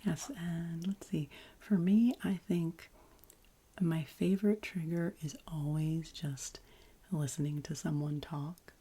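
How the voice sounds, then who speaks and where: A woman with a deep, husky voice chats gently as an ASMR host, soft-spoken and often whispering. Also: steady tone. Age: adult, past college. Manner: slow, gentle, soothing delivery.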